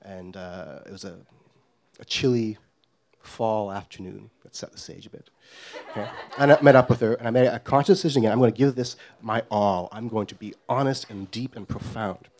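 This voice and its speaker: A man's voice: lowish voice, brisk, darkish tone. A middle-aged man from Guyana telling a comic story on stage in a wry, self-deprecating way. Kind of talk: live comedic storytelling.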